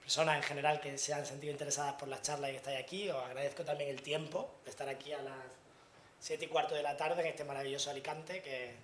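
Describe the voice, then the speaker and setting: A man's voice: a little high, steady tone, fast, fairly bright tone, slight background noise. A man from Granada with an Andalusian Spanish accent, giving a chatty conference talk to students in a university hall and often asking the audience questions. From Granada, Spain. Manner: chatty, asks the audience questions, frequent tag questions. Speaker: invited speaker.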